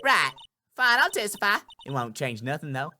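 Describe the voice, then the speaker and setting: A man's voice: a little high, big pitch swings, normal speed, pushed and bright, faint music behind, voice pushed up, exaggerated voice. A man voicing a brash, folksy Southern woman photographer in a video game, with a slangy, boastful drawl. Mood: defiant.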